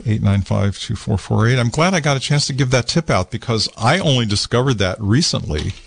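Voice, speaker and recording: Lowish voice guy hosting call-in radio, laid back, lots of ums, dry studio mic sound. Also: no room sound. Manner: relaxed and rambling, with many fillers and restarts. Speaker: radio call-in show host. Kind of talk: casual call-in tech chat. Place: small satellite radio studio. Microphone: studio microphone with headphones.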